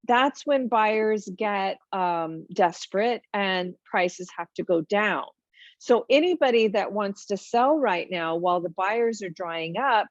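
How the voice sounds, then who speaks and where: A woman's voice: mid-range voice, dry recording, dull audio. A woman hosting a finance webinar, talking informally and emphatically through numbered points with frequent ums and asides.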